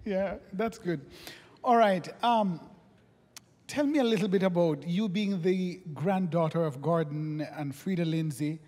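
High-pitched guy interviewing on stage, playful, laughs a lot, long hall echo. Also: measured pace, a little mellow. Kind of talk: onstage interview. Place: large auditorium stage. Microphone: handheld mic.

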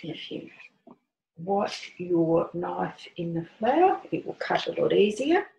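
A woman's voice: on the low side, sing-song, slow pace, creaky, dull audio. An older woman hosts a home cooking demonstration, explaining each step in a chatty, homely way while she works in her kitchen. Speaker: cooking show host. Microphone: camera on the counter. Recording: echoey kitchen with clicks and noise.

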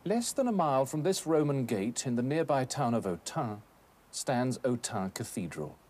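A man in his thirties presenting a scripted educational documentary in a measured, articulate, expository manner. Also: medium pitch, big pitch swings, a little mellow, breathy edge. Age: 30s. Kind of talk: scripted educational narration on architecture. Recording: noisy old documentary film soundtrack.

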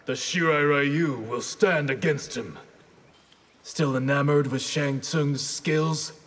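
A stern masked ninja master in a fighting game. He speaks solemnly and formally, with a slightly husky grain and a hall-like reverb around the voice. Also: fairly high pitch, steady tone, takes it slowly, fairly bright tone. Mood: solemn, resolute. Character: stern, honor-bound masked ninja clan leader. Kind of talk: dramatic fighting game dialogue.

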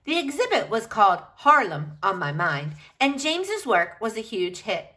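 A woman reading a picture book aloud in an expressive, deliberate storytelling style. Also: medium pitch. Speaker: reader of a children's picture book.

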